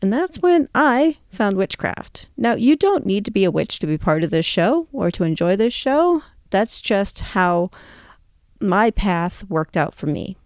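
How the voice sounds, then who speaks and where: A woman in her fifties speaks as the host of a personal storytelling show. Her pitch is average, and her delivery is reflective and conversational. The recording is dry, with very little room sound. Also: very animated, a little slow, no echo. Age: 50s.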